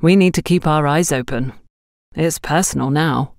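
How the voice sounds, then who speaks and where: A woman's voice: low-pitched, normal speed, a little mellow, no room sound, clean studio sound. A woman voicing a cocky, mischievous young male security guard in an audiobook, boastful and theatrical. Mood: gleefully vengeful.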